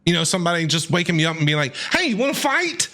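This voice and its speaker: A man's voice: high-pitched, very animated, very fast, pushed and bright. A man casually reacting to a game as a streamer, chatty and jokey. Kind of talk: casual live reaction commentary.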